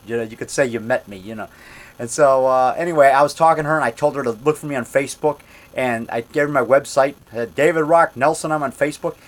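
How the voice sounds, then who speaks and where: A man in his fifties telling a rambling story in a loud voice, repeating himself and getting worked up as he goes. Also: medium pitch. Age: 50s. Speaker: monster movie maker telling a story. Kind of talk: casual storytelling monologue.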